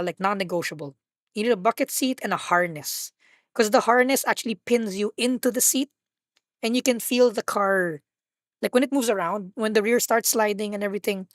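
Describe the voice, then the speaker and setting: A woman with a husky voice hosts a live stream, chatting casually while she plays. She rambles, corrects herself and jokes around. Her voice sits mid-range, and the sound is close and dry. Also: mid-range voice, dry recording. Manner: rambling, self-correcting, playful.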